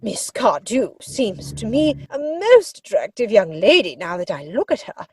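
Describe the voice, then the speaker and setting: Haughty aristocratic English aunt, swooping pitch. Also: female voice, very animated, a little mellow, husky, heavily acted. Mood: calculating, impressed. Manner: pompous, drawn-out, deliberate delivery. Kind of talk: comic stage-play dramatic reading.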